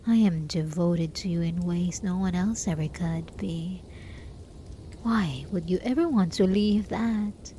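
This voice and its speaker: Casting: actress as possessive hermit enchantress for ASMR roleplay; mellow, intimate, whisper-prone, desperate and lovesick, faint noise behind. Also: female voice, lowish voice, lightly acted. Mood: soothing, menacing. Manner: soft, slow, intimate, often dropping into whispers. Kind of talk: ASMR roleplay, scripted dramatic monologue.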